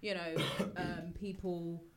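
Brief: young woman from Ethiopia, slightly low voice, measured and hesitant. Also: level tone, crowd noise. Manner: thoughtful, hesitant, many fillers. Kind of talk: casual group chat.

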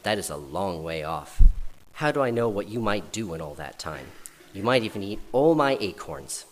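A man's voice: low voice, very animated, normal speed. A man reading aloud and voicing a big, suspicious and stingy oak tree that scolds others. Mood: gruff. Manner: gruff and scolding. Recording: reverberant hall, faint noise.

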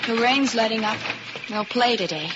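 High-voiced female ingenue, actress-played, earnest and girlish, golden-age radio drama, noisy sound. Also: moderate pace, close to an everyday voice. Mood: worried. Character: anxious but cheeky, earnest young woman. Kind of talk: 1950s radio drama acting. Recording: noisy, muffled old radio recording.

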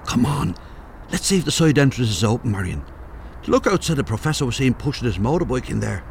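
A man's voice: mid-range voice, sing-song, brisk, gravelly, a little hiss or hum. An actor playing a bossy, scoffing, know-all Irish man in a comedy audio drama. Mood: impatient. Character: bossy, dismissive Irish man sneaking about, secretly jittery.

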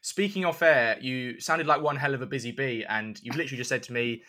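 A man's voice: mid-range voice, fast talker, bright, forceful tone. A man hosting an interview podcast, speaking in an informal, chatty register. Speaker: podcast host. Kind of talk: scripted intro and casual interview.

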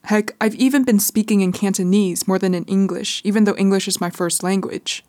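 A woman's voice: mid-range voice, steady tone, a little fast, a little mellow. A young adult woman from California with an American accent, reading scripted narration as a podcast host. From California, United States.

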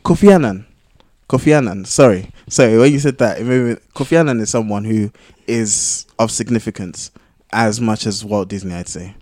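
A man in a casual podcast discussion, arguing his points in a halting way as he thinks them through. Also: on the low side, a little mellow, no echo, studio quality. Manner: halting, thinking aloud, argumentative. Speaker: podcast panellist. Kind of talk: casual discussion among friends.

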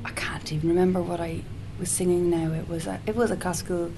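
A woman with a low, soft-spoken voice chats casually as a guest singer-songwriter. She circles back on herself with restarts and little fillers. A clear hiss runs under the recording. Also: little pitch movement, fast talker. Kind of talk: casual interview chat. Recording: noticeable background hiss.

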